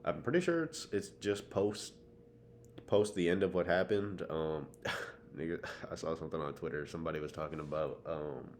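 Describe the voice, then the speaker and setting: Young American male co-host, exceptionally low voice, slangy easygoing podcast chat, slight background noise. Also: moderate pace. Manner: slangy, agreeable.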